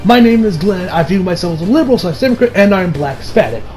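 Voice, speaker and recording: High-voiced man giving a casual review. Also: quick pace, soft background music. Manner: informal, sweary, excitable. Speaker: YouTube movie reviewer. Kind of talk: casual rambling review commentary.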